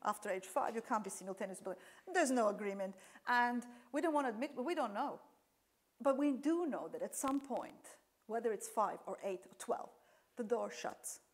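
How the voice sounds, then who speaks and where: A woman's voice: fairly high pitch, lively intonation, moderate pace. A woman lecturer whose first language is Serbian, answering audience questions after a talk, explaining with frequent fillers and restarts.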